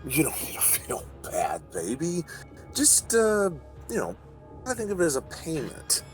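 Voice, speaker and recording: A man playing a creepy online predator on a call, coaxing and halting, with a domineering, menacing manner. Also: on the high side, huge pitch swings, faint music behind. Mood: wheedling, pushy. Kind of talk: voice call conversation.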